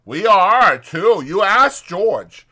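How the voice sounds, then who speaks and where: A man's voice: high-pitched, very animated, extremely slow, pushed and bright, pitched above the performer's own voice, heavily acted. A man voicing a big, simple-minded, stubborn ranch man who grumbles in simple, childlike rural speech for an audiobook. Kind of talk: dramatized audiobook character acting.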